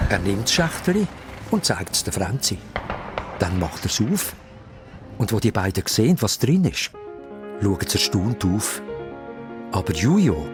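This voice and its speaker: A man with a Swiss German accent narrating a children's radio play as dramatised scripted storytelling. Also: on the low side.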